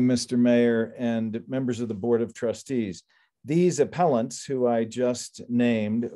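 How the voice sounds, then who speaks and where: Mellow-voiced older man, formal and measured. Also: medium pitch. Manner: measured, deliberate, enumerating points. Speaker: attorney for appellants at a public hearing. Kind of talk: formal prepared legal summary. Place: indoor room on a video call. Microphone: computer video call microphone.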